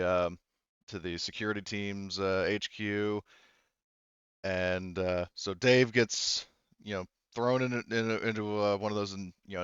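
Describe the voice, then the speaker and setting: A man running a tabletop roleplaying game as game master, narrating off the cuff and haltingly thinking aloud. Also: on the low side, clear, resonant tone, dry recording, highs cut off.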